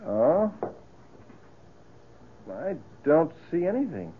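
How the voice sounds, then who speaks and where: An actor playing an affable, helpful friend in an old-time radio drama, genial and a little hesitant. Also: male voice, fairly high pitch, very animated, drawn-out words, a little hiss or hum, full character voice. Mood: curious, puzzled. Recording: thin, muffled old radio recording.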